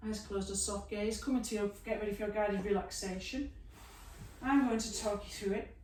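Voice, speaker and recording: A woman teaching a yoga class, giving slow, soothing guidance through stretches and a guided relaxation. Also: medium pitch, steady tone, darkish tone. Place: room, recorded at a distance from the camera mic. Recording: echoey room, mic at a distance, faint hiss.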